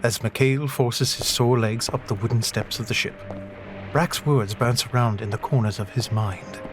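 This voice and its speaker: Guy narrator for an adventure audio drama, medium pitch, scripted storytelling, bit of music underneath. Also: moderate pace.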